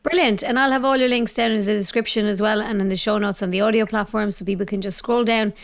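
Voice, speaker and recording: A woman's voice: mid-range voice, steady tone, fast talker. A woman hosting a podcast in a casual, conversational interview style. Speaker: podcast host.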